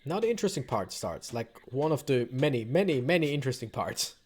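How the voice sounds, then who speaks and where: A man's voice: medium pitch, very animated, a little fast, darkish tone, slightly rough. A man giving casual, rambling let's play commentary with wry asides. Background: game music.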